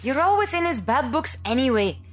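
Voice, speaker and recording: A woman's voice: sits high, sing-song, a little fast, soft background music. A woman playing a cheeky, confident young circus trapeze performer in an audio drama. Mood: teasing.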